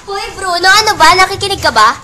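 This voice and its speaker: Woman acting high, bright lovestruck Filipino schoolgirl. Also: fast talker, exaggerated voice. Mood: excited, chatty. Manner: expressive, slangy teenage talk. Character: lovestruck sixteen-year-old schoolgirl, chatty and easily annoyed. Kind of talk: amateur radio drama acting.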